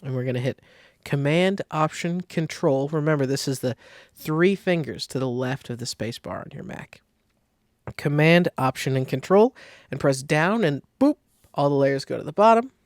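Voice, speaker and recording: A man giving a casual step-by-step software tutorial, thinking aloud informally as he demonstrates. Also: a little high, normal speed, no room sound, studio quality. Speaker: design tutorial instructor.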